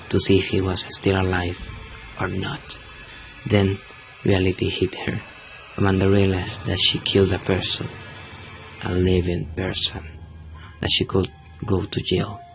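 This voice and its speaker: A man's voice: low-pitched, gravelly, rough recording. A young man, a native Spanish speaker with a Chilean accent, narrating a scripted horror radio play in a dramatic, suspenseful way. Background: prominent music.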